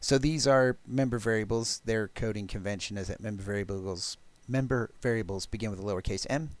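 A man presenting a technical programming demo, explaining step by step in a halting, thinking-aloud way. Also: fairly low pitch, dry recording. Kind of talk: technical talk with live code demo.

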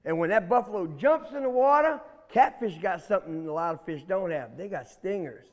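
A man's voice: sits high, animated, a little fast. A man voicing a feisty, indignant catfish leader in a folktale, exclaiming and scolding in folksy speech to protect his pond. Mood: scheming. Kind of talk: oral animal folktale. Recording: echoey hall, music.

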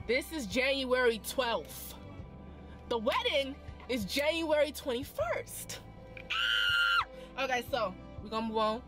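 Animated young woman telling a story in her car. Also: fairly high pitch. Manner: expressive and dramatic, slangy, quick asides. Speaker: vlogger. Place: parked car.

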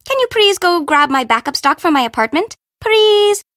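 A woman's voice: very high-pitched, very animated, normal speed, fairly bright tone, no echo, clean studio sound, exaggerated voice. A woman playing a perky, sassy young Japanese bento shop owner in a video game, with an informal, teasing, sarcastic manner. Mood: bubbly, casual. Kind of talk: video game character voice acting.

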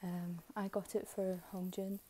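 A young woman with a slightly hoarse voice, giving low-key, halting commentary on an unboxing. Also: flat delivery, dark tone, slight background noise. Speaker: K-pop album unboxing vlogger. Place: bedroom, filming items on a bed.

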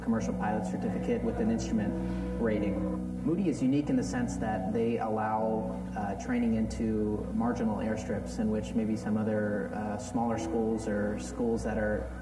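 A young man, a flight student, giving a steady, detailed account in a documentary interview. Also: fairly low pitch, moderate pace. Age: young adult. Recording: roomy, distant, under music.

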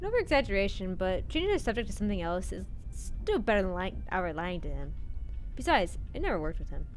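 A woman's voice: mid-range voice, big pitch swings, fairly quick. A young woman streamer reading visual novel narration aloud and commenting, stumbling over words now and then. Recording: game music behind the voice.

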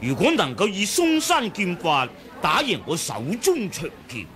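An actor playing a proud, menacing martial-arts master in a Hong Kong Cantonese radio drama, haughty and mocking. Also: male voice, high-pitched, wildly swooping pitch, fairly bright tone, full character voice. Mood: mocking, pompous. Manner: haughty, mocking, drawn-out delivery. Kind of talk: 1980s radio drama acting. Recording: 1980 radio drama with music behind.

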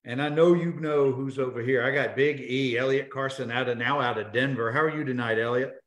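A man in his thirties hosting a live video interview, upbeat and joking with quick asides. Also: medium pitch, steady tone, fairly bright tone. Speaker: host. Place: home office, indoors. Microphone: video call. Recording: roomy video call audio.

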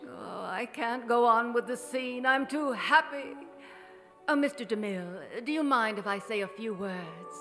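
An actress playing an aging, deluded silent-film star with theatrical, halting grandeur in a radio drama. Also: female voice, on the high side, animated, music in the background. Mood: rapturous, deluded. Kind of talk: 1950s radio drama acting. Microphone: vintage-style stand microphone on stage. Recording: live theatre stage, long hall reverb.

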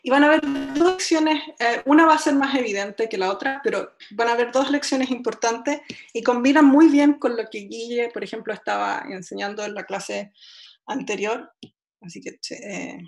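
A woman's voice: on the high side, moderate pace. A young woman with a Chilean accent giving an online physics lecture over a video call, chatty and self-deprecating, with many fillers and restarts. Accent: Chilean Spanish. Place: home room, indoors. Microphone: laptop webcam video call.

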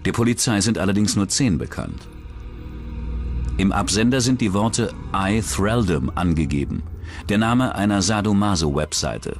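Male documentary narrator, lowish pitch, scripted true-crime delivery, faint music behind. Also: lively intonation, moderate pace.

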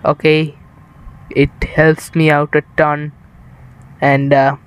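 A young man from India talks to his viewers in an unhurried, drawn-out way as he plays, his voice sitting a little high. He rambles loosely, sounds worn out, and uses an improvised setup. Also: monotone, clear, resonant tone. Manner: rambling, chatty, addresses viewers. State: tired. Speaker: streamer. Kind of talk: casual live-stream chat while gaming.

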